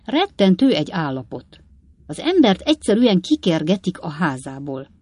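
A woman's voice: lowish voice, big pitch swings, a little mellow, breathy edge, dry recording. A woman voicing an old coachman father in a storybook reading, grumbling and reasoning pompously with emphatic indignation. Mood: indignant. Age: older.

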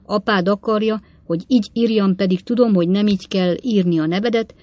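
A woman's voice: mid-range voice, fairly even intonation, darkish tone, no room sound, pitched above the performer's own voice, natural voice. A woman reading an audiobook voices a plain-spoken old widowed father in long run-on phrases with quaint formality. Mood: mournful rambling. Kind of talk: audiobook letter reading in character.

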